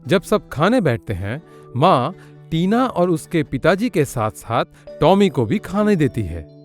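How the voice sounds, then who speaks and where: A man's voice: on the high side, sing-song, a little slow, faint music behind. A man narrating a children's cartoon story in a scripted storytelling style.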